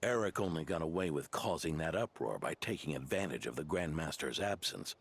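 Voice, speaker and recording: An actor playing a venerable old knight in a video game, a steadfast veteran with a gravelly, measured way of speaking. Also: male voice, very deep voice, expressive, heavily acted. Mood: indignant. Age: older.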